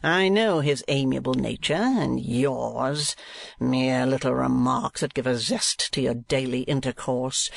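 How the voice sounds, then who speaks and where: A narrator voicing a scornful older businessman, rough and grating, slow and bitterly mock-polite, in an audiobook. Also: mid-range voice, no room sound, studio quality, lightly acted.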